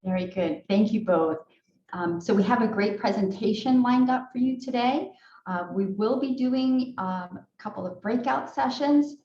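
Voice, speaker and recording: A woman who directs training, opening a video-call session with polite, measured housekeeping notes and frequent fillers. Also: on the high side, dark tone. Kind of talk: online training welcome and housekeeping. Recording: echoey room, dull lo-fi sound.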